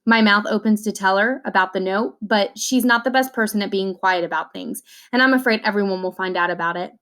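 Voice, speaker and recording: A woman reading a children's novel aloud in an expressive way, acting out the dialogue as she goes. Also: mid-range voice, fairly quick.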